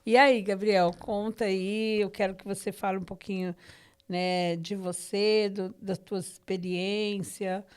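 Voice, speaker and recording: A woman's voice: medium pitch, flat delivery, unhurried, clean tone. A woman with a Brazilian accent hosting a podcast from her living room, talking conversationally with devout enthusiasm and frequent tag questions. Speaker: podcast host. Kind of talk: conversational podcast introduction of a guest.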